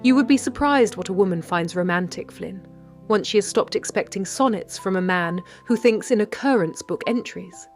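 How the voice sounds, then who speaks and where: Woman acting as 1930s London lady detective, British accent, wry, brave, literary narration, mid pitch, faint music. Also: darkish tone, natural voice. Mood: wry, fond. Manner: measured, literary, dryly understated. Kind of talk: scripted first-person audio drama narration.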